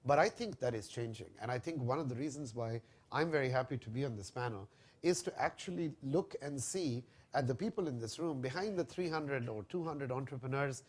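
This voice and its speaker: A man answering a question on a conference panel, speaking fluently and in a measured, expansive way. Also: mid-range voice, expressive. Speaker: panelist, investment firm founder. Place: conference hall.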